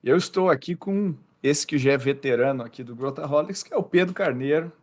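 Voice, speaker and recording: A man's voice: on the high side, brisk, darkish tone. A Brazilian man hosting a podcast interview, talking casually and often restarting and repeating words. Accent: Brazilian Portuguese. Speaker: podcast host.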